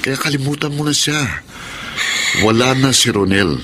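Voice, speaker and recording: An actor playing a pushy, obsessive suitor in a radio drama, insistent and forceful in manner. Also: male voice, medium pitch, moderate pace, noisy background, lightly acted.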